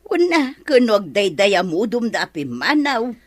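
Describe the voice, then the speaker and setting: A woman's voice: medium pitch, sing-song, moderate pace, no echo. An actress with an Ilocano accent playing a gossiping, tattling village woman in a comedy radio drama. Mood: gossipy.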